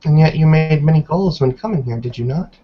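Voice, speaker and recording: A man voicing a stern, composed elderly matriarch in a tabletop roleplay, speaking in a calm, formal, measured way. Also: fairly high pitch, voice pushed up, natural voice. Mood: cool interrogation. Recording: dull, noisy online call audio.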